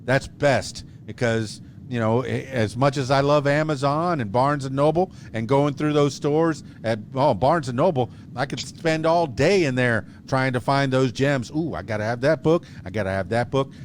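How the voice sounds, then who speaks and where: A man's voice: medium pitch, normal speed, hardly any pauses, a little hiss or hum. Rambling, jokey man hosting a podcast. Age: 50s. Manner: rambling, jokey, with many restarts. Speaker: podcast host. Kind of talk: casual interview chat.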